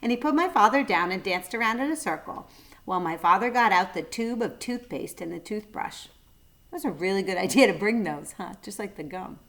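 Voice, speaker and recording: A woman's voice: mid-range voice, lively intonation, brisk, fairly bright tone. A woman reading a children's storybook aloud, adding short asides for young listeners.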